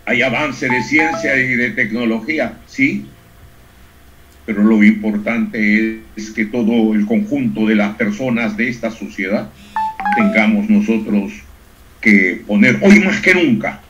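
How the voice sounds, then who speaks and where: An older man gives a formal closing speech in a deliberate, oratorical manner, in short measured phrases. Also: lowish voice, fairly bright tone, soft background music. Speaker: education institute director giving a closing speech. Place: indoors on a group video call. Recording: muffled, lo-fi video call audio.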